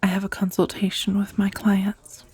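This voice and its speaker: A woman playing a professional dominatrix on a blind date in a soft-spoken ASMR roleplay, breathy and often near a whisper, confiding and teasing. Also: medium pitch, monotone, moderate pace. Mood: confiding, calm. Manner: hesitant, confiding, teasing, with sighs. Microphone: close, dry ASMR microphone.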